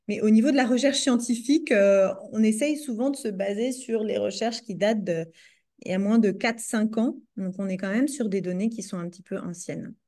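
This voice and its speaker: A woman's voice: a little high, dark tone. A woman with a French accent, presenting her doctoral research to a group over a video call, hesitating often with fillers and restarts. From France. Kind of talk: academic presentation.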